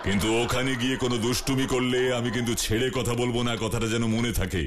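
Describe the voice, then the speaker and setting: A man voicing a confident, stern but kindly superhero in a cartoon, speaking in a firm, measured way. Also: medium pitch, fairly even intonation, background noise, close to an everyday voice. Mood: indulgent, firm. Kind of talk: cartoon voice acting in Bengali.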